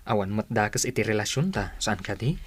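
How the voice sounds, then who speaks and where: An actor playing a devoted, easygoing young boyfriend in a radio comedy drama, with an Ilocano Filipino accent. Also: male voice, on the low side, lively intonation, a little fast, slight background noise, close to an everyday voice. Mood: sweet.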